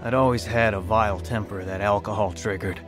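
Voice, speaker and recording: An actor as a blunt, bitter mechanic, music behind. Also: male voice, lowish voice, steady tone, a little fast, natural voice. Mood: grave, reflective. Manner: blunt, combative. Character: bitter, hot-tempered working-class mechanic telling his life story. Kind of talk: dramatised radio testimony, narrating and acting.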